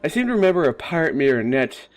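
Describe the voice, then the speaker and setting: A man's voice: on the high side, very animated, fast, soft background music. A man playing a poster piecing together eerie childhood television memories in a dramatic reading. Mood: tentative recollection. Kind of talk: dramatic reading of online forum posts.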